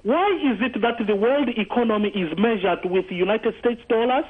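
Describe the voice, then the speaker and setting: A man's voice: high voice, normal speed. A man from Zimbabwe calling into a radio talk show, arguing earnestly and stumbling through many fillers and restarts. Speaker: caller. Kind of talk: call-in radio commentary. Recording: tinny phone line on radio.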